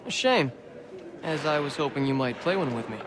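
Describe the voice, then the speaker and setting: An actor playing a wry, suspicious colonial prisoner in a video game, talking in an arch, eloquent, theatrical way. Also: male voice, on the high side, animated, moderate pace, background noise, natural voice. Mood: playful. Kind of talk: video game cutscene acting.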